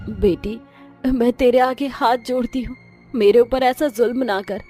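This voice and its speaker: A woman's voice: fairly high pitch, steady tone, a little slow, warm tone, soft background music, close to an everyday voice. A woman voicing a humble, earnest old mother-in-law in a cartoon moral story. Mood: pleading. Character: humble, hardworking old widowed mother-in-law selling coconut water. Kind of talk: animated moral-story voice acting.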